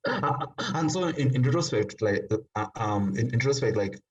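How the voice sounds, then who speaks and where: A man from Kenya, a writer talking casually as a podcast guest in a halting, thoughtful way full of fillers. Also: mid-range voice, animated, dull audio. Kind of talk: casual conversation.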